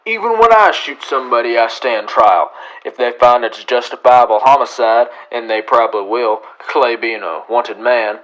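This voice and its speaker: A man acting a stern, commanding western marshal in a radio drama, blunt and forceful, barking orders. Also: mid-range voice, lively intonation, normal speed, natural voice, poor audio. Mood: hard, exasperated. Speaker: actor in a student radio drama.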